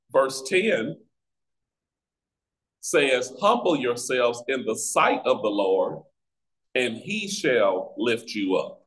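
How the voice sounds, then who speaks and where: An American man teaching a Bible study as a pastor, with deliberate, emphatic phrasing and pauses, over a video call. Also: medium pitch, low-quality recording. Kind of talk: Bible teaching with scripture reading. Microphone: video call microphone.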